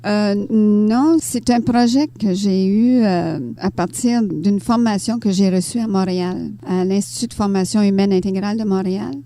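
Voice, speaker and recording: An older woman from Ontario with a Canadian French accent, answering interview questions conversationally and pausing often as she thinks. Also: mid-range voice, mellow. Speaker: interview guest, author and teacher. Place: radio studio.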